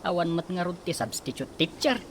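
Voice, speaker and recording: An actress playing a curious schoolteacher colleague who asks after a missing teacher. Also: female voice, lowish voice, lively intonation, moderate pace, noisy background. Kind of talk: radio drama acting. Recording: music playing underneath.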